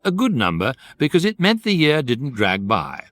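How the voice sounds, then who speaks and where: A man's voice: mid-range voice, huge pitch swings, moderate pace, fairly bright tone. A man giving wry, urbane, droll scripted narration for a radio comedy.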